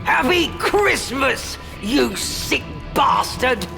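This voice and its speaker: A woman's voice: high voice, big pitch swings, very slow, vocal fry, exaggerated voice. An actress playing a fierce, grief-stricken woman in a horror audio drama, bitter and emphatic but protective. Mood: vengeful fury. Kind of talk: full-cast horror audio drama acting. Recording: loud music bed throughout.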